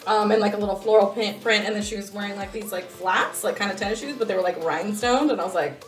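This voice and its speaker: A woman's voice: medium pitch, quick pace, soft background music. A young American woman co-hosting a podcast in casual, slangy, gossipy banter. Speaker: podcast co-host.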